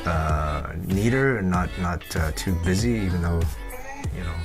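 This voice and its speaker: A man presenting an unscripted digital art tutorial voice-over, thinking aloud in a hesitant, halting way. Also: low-pitched. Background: guitar music.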